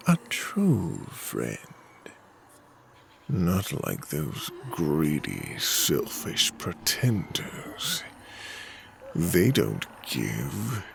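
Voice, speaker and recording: A sinister creature posing as a friend in an audio drama. The voice is low and creaky, crawling along very slowly and often slipping into a whisper. It coaxes and insinuates over music. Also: male voice, slight background noise, heavily acted. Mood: soothing, sly. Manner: slow, insinuating, coaxing.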